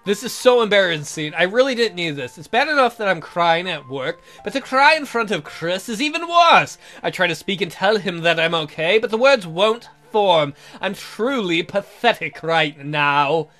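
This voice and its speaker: A man's voice: sits high, animated, normal speed, hardly any pauses, bright, forceful tone, faint music behind. A man voicing a lovesick, miserable young woman who narrates her romance melodramatically in a visual novel. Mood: frustrated, humiliated. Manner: melodramatic, pining.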